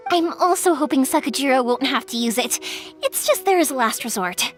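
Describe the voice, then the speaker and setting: A lively young fireworks maker. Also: female voice, high voice, fairly quick, faint music behind. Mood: cheerful. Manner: lively, chatty and warm-hearted storyteller. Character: cheerful young woman fireworks maker, friendly and bold. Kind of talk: video game voice acting.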